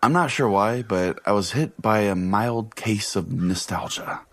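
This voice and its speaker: A man's voice: on the low side, unhurried, a bit bright. A man hosting a podcast, telling a scripted comic story in a mock-solemn, profane way. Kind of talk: comic first-person scripted narration.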